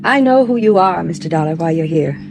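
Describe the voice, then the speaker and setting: A woman's voice: deep voice, expressive, fairly quick, gravelly. A woman playing a tense, defensive wealthy lady in a classic radio drama. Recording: old radio recording, car noise behind.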